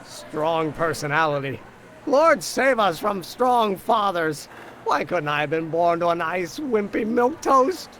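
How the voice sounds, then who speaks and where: A man's voice: high-pitched, very animated, unhurried, fairly bright tone, a little hiss or hum, heavily acted. An actor voicing a stubborn, melodramatic young male inventor in a video game, theatrical and emphatic. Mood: sulky.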